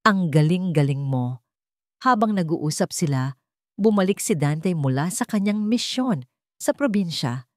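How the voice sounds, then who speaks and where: A woman's voice: fairly low pitch, expressive, unhurried, dry recording, studio quality. A woman narrator reading a dramatic scripted love story. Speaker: narrator of Tagalog love stories.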